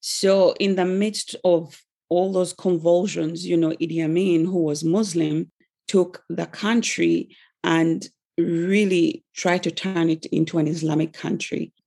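A woman from Uganda telling her personal story as a podcast guest, measured and with fillers. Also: on the low side, fairly even intonation, gravelly, warm tone. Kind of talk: personal testimony.

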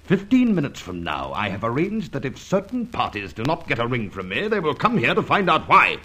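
An actor playing a defiant, menacing villain in a vintage radio crime drama, theatrical and indignant. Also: male voice, medium pitch, wildly swooping pitch, a little fast, sharp tone. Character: defiant villain, menacing businessman caught at gunpoint.

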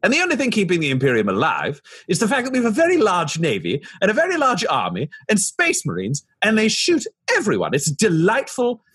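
A man with a husky voice role-playing in a tabletop game, animated and sardonic. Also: high voice, a little fast, few pauses. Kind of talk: in-character role-play.

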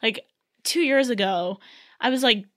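A woman's voice: fairly high pitch, measured pace, fairly bright tone. A woman in her twenties from Southern California, a film director chatting casually as an interview guest, laughing often and talking with many fillers. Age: 20s.

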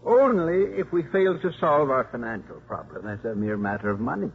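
An actor playing an elderly, stubborn and wry college priest in 1950s radio drama style, with dry, emphatic delivery. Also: male voice, mid-range voice, animated, fairly quick, vocal fry, slightly rough, exaggerated voice. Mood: wry, confident. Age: older. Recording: muffled 1950s radio broadcast.

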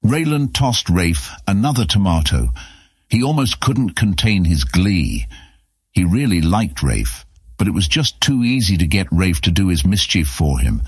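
A man's voice: low voice, sing-song, a little slow, slightly rough, no echo, studio quality. A man narrating a scripted fantasy story as an audiobook narrator.

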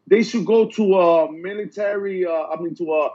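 High, husky man from Puerto Rico. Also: normal speed, a little mellow, dull audio. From Puerto Rico, raised in the mainland United States. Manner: repeats himself, earnest, insistent. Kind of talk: casual podcast chat.

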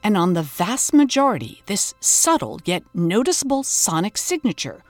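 A woman's voice: mid-range voice, very animated, moderate pace, soft background music. A woman podcast host, delivering scripted investigative narration and interview questions.

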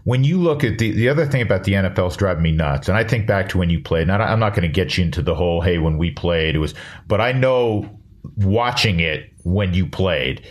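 Male host, lower voice, blunt, casual and opinionated. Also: animated, fairly quick. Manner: blunt and opinionated, mild swearing. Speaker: podcast host. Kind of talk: casual interview chat.

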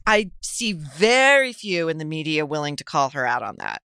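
A woman's voice: deep voice, sing-song, normal speed, bright, forceful tone, clean tone, dry recording, clean studio sound. A middle-aged woman giving wry, scornful commentary as a conversational talk show guest.

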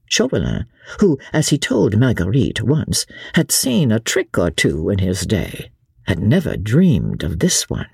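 A woman's voice: very deep voice, wildly swooping pitch, a little slow, creaky, a little mellow, husky, dry recording, clean studio sound. A woman reading an audiobook as dramatic narration, in a theatrical, suspenseful manner.

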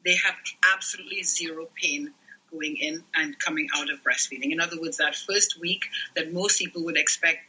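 A middle-aged man with a high, husky voice. His tone is very bright. He explains things earnestly as a specialist guest. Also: fairly quick, low-quality recording. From Trinidad and Tobago. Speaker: newborn care and lactation specialist. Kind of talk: podcast interview guest.

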